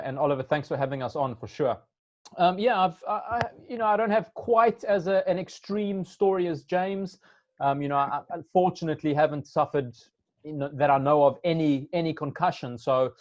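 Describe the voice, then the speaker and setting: Co-founder in his thirties, slightly high voice, halting and restarting, muffled dry audio. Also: darkish tone, no room sound. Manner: hesitant, restarting often. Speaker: company co-founder. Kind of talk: casual interview answer.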